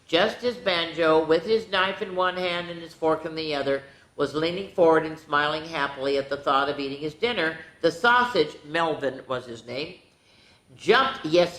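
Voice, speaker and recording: A woman hosting a children's story time, reading a storybook aloud in an animated, playful way with dramatic asides to the young listeners. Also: on the low side, normal speed. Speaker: children's storyteller, show host. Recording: roomy, echoing indoor sound.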